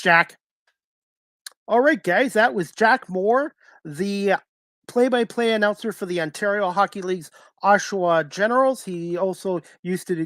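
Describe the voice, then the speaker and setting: A man hosts a sports talk show. His voice sits a little high, and the sound is dry with no room around it. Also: a bit bright, dry recording.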